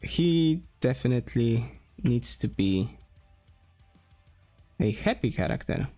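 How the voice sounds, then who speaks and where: A man with a Polish accent talks slowly as he draws, thinking aloud with many pauses and restarts. His voice sits at an ordinary middle pitch. Quiet music plays faintly behind him. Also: animated. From Poland. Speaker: streamer and game developer. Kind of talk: casual drawing stream talk.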